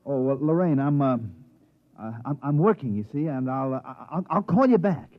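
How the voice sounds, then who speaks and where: Actor playing a stammering flustered technician. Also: male voice, a little high, expressive, normal speed, close to an everyday voice. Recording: lo-fi 1950s radio drama.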